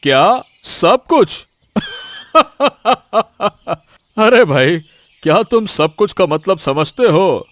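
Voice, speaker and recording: An actor playing a sly, mocking landlord in a cartoon, taunting with drawn-out condescension. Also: male voice, high voice, animated, a bit bright, no room sound, exaggerated voice. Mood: smug, mocking. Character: cunning, mocking land-grabbing village landlord. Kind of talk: Hindi cartoon moral-story dialogue.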